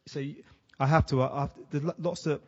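A man's voice: fairly high pitch, steady tone, fast, darkish tone, slightly rough, lo-fi sound. A man hosting a podcast interview, talking in a friendly, eager way with frequent restarts. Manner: friendly, stumbling restarts, enthusiastic. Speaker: podcast host.

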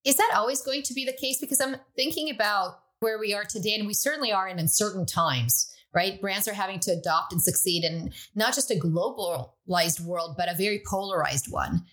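A woman's voice: mid-range voice, brisk, hardly any pauses, a bit bright. A woman whose first language is Croatian, hosting an interview and asking curious follow-up questions. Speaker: podcast host.

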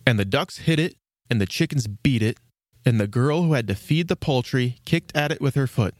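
A man narrates a storybook in an expressive, scripted style. His voice sits at a medium pitch with very animated intonation, and the sound is dry. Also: normal speed, no room sound.

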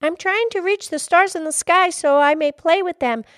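Woman playing little girl, high pitch, very polite, determined, folk tale heroine. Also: normal speed, fairly bright tone, no room sound, studio quality. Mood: polite hopeful.

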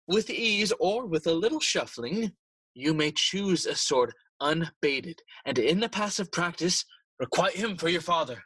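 Sly murderous king, kinda high husky voice, theatrical shakespeare radio play. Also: sharp tone, no room sound, exaggerated voice, low-quality recording. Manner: theatrical, persuasive, deliberate. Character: scheming, smooth-talking king plotting murder. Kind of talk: bilingual Shakespearean audio play acting.

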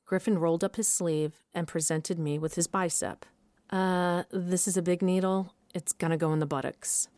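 A woman playing a determined mother who narrates her own adventure in a scripted audio drama, measured but with dramatic emphasis. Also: lowish voice, fairly even intonation, no room sound, studio quality, natural voice. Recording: loud music bed under voice. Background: music.

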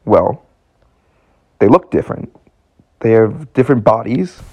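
A man playing a gravelly, earnest young man. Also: lowish voice, lively intonation, unhurried, dull audio, heavily acted. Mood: hesitant, earnest. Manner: hesitant, earnest, searching. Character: earnest, bewildered young man of twenty-six. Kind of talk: radio play acting.

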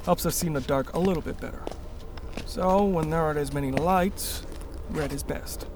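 A man playing a calm, serious engineer and protective survivor in a sci-fi radio drama, explaining things steadily. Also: on the high side, normal speed, background noise, natural voice. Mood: calm, explanatory.

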